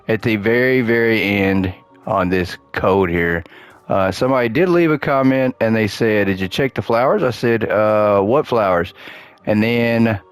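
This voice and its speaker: Low-voiced man with a cracking voice. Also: no echo. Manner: chatty, upbeat, thinks aloud while playing. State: losing his voice. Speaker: gaming channel host. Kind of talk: casual gameplay commentary.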